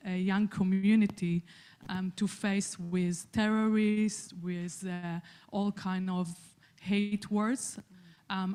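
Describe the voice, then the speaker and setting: A woman's voice: medium pitch, warm tone, clear, resonant tone. A young Israeli woman with an Israeli accent asks an earnest question from the floor at a public forum, hesitating often with fillers. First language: Hebrew. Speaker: audience member asking a question.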